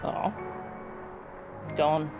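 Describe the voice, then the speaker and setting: A woman game streamer chatting casually with her viewers on a livestream. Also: drawn-out words. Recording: game music behind the voice. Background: video game music.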